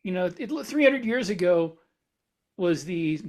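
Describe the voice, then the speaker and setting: A man's voice: high voice, lively intonation, unhurried, darkish tone. A man co-hosting a podcast in casual discussion, talking discursively and reasoning his way through examples. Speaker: podcast co-host.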